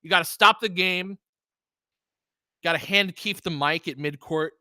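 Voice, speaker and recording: American male fan-stream sports host, bright forward voice, very dry sound, informal and opinionated play-by-play. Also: sits high, a little slow, dry recording. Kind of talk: basketball play-by-play mixed with casual fan chat.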